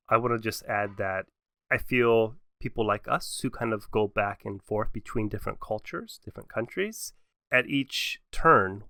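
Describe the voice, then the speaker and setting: A young adult man co-hosting a podcast, talking in a relaxed, reflective way and laughing often. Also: on the low side, dry recording, clean studio sound. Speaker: podcast co-host. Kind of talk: casual conversation.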